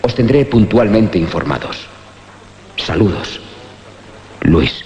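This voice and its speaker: An actor playing an obsessed, excitable man in a horror radio drama. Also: on the low side, slow pace. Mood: fascinated. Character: obsessed, excited man bent on righting an injustice. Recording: dull audio with faint noise.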